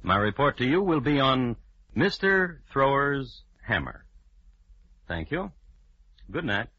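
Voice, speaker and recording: A man playing a dry, formal crime historian, narrating in deadpan 1950s radio style. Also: medium pitch, takes it slowly, husky, no room sound, heavily acted. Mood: formal, ominous. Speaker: narrator and host. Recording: muffled vintage radio broadcast recording.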